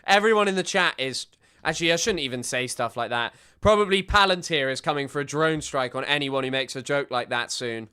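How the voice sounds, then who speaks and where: A man's voice: on the high side, fairly quick, bright, forceful tone, clear, resonant tone. A man giving sarcastic, rambling commentary while reacting to a clip, often restarting his sentences. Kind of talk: casual commentary.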